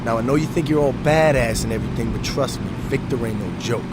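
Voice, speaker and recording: A man's voice: mid-range voice, fast talker, very noisy, close to an everyday voice. An actor playing a cautious male gang lieutenant who plans carefully, in a video game cutscene.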